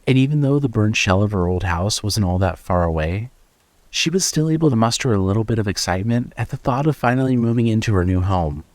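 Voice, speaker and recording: Casting: male narrator for scripted horror audio fiction, somewhat low pitch, storytelling delivery. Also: lively intonation, a little fast, no echo.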